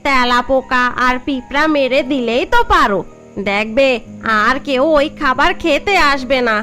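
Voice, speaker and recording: A sly, sharp-tongued young village wife in a cartoon, voiced by a woman, with a high voice. Faint music plays behind her teasing. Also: moderate pace. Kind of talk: cartoon dubbing.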